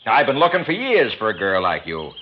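A paunchy male sports promoter pitches hard in a 1960s radio drama. His voice is fairly low and very animated, slangy and persistent. The old recording is muffled, with faint noise. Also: a little fast. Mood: amused, eager. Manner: slangy, persistent salesman's patter. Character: paunchy, cigar-smoking male sports promoter, fast-talking and persuasive.

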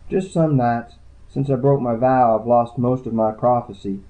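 A man voicing a tired, devout young woman who sees the future, speaking softly and earnestly. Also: mid-range voice, normal speed, pitched above the performer's own voice, close to an everyday voice. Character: weary sniffling young woman seeress, devout. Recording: tinny phone-like audiobook.

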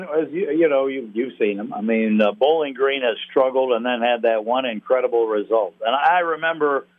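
Male sports announcer as phone guest, folksy and rambling, casual analysis, narrow tinny line. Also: medium pitch. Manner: folksy, rambling, halting with repeated words. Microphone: phone call.

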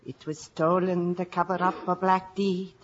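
A woman's voice: lowish voice, little pitch movement, unhurried, lightly acted. A woman acts out an old Irish village seer, grave and all-knowing. Her delivery is portentous radio drama. The recording is old and dull, with faint noise. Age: older. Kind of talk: 1950s radio drama acting.

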